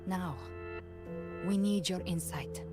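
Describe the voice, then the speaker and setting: Game role: resolute young warrior woman, low and grave, formal delivery, music clearly underneath. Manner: solemn, formal, measured. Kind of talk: scripted video game dialogue.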